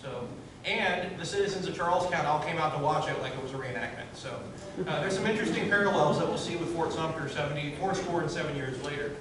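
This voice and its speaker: A man giving a loud, chatty public history lecture to an audience, full of fillers and restarts. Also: on the high side, quick pace. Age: 30s. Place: large echoey room, in front of an audience. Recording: very echoey room, distant, audible noise.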